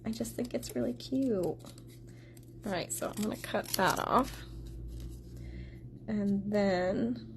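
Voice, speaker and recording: A woman's voice: mid-range voice, expressive, takes it slowly, long pauses, a little hiss or hum. A woman hosting a casual card-making tutorial, chatting to her viewers while she cuts, a little congested from a sore throat. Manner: chatty, talks straight to viewers.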